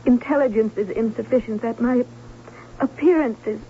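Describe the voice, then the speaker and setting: An actress playing an anxious, wistful young bride-to-be, speaking in a measured, melodramatic way in an old-time radio drama. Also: female voice, a little high, slight background noise, close to an everyday voice. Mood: despairing. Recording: thin phone-like old radio recording.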